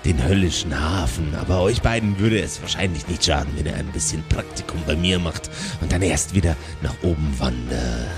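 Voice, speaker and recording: A man acts out a suave devil of a tabletop audio play, a polite infernal bureaucrat. The voice sinks low and talks with smooth condescension over clearly audible music. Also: brisk, few pauses. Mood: dry, condescending.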